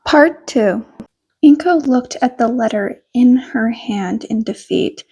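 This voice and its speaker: Woman reading a story out loud, high voice, really unhurried, amateur audiobook. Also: husky, low-quality recording. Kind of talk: scripted story narration.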